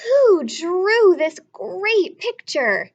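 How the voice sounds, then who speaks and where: An excitable, curious young girl in a children's storybook, as a woman reader puts her on. She speaks high and exclamatory, drawing words out slowly. The recording is slightly dull. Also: heavily acted. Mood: amazed, curious. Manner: exclamatory, drawn-out storybook delivery.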